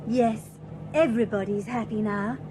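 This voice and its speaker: A woman's voice: a little high, fairly even intonation, moderate pace, background noise, close to an everyday voice. An actress playing a shallow, cheerful young woman in a radio drama, talking in a breezy, chattering way. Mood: chatty. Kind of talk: BBC radio drama acting.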